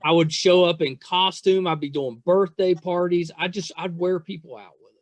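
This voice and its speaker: A woman's voice: fairly low pitch, steady tone, normal speed. An American woman hosting a podcast, interviewing in an agreeable, casual, chatty way.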